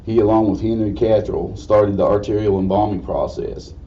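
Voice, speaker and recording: Low raspy man, distant and muffled. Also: fairly even intonation, normal speed, mellow. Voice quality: slightly raspy. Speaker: guest lecturer. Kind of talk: informal history lecture. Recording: distant mic, dull muffled sound, faint noise.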